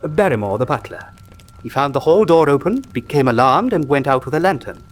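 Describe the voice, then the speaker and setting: An actor playing an earnest country doctor who tells a grim tale gravely and articulately in a radio drama. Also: male voice, a little high, sing-song, fairly quick. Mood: earnest, factual.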